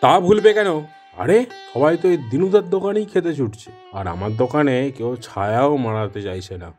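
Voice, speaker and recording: A man's voice: medium pitch, fairly quick, darkish tone, soft background music, lightly acted. A man voicing a grumpy, scheming male biryani seller in a Bengali cartoon, grumbling and sly. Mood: irritated.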